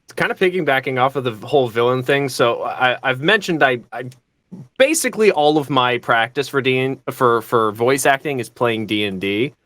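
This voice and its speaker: A man's voice: mid-range voice, lively intonation, moderate pace, fairly bright tone, no room sound. A man speaking casually as a voice actor on a panel.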